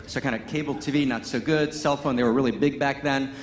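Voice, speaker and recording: A man's voice: medium pitch, steady tone, fairly quick. A man whose first language is Hebrew and who grew up in Massachusetts gives a Sunday sermon as a guest teacher. He tells it as a loose personal story, full of "you know" and "right?", and builds to emphatic passages. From Pittsfield, Massachusetts, US. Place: large church hall. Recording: echoey church hall with faint ambience.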